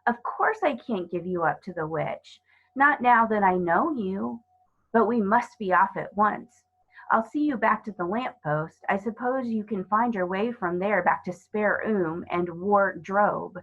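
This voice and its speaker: A woman's voice: mid-range voice, a little slow, a bit bright, dull audio. A woman voicing a timid, guilt-ridden male faun in a children's storybook, tearful, pleading and melodramatic. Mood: resigned, cautious.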